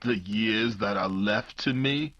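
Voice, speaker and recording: An actor playing a vengeful old criminal doctor, ominous and grandiose, in a 1940s radio mystery style. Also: male voice, lowish voice, takes it slowly, a bit bright, muffled recording. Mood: grandiose menace. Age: 70s character.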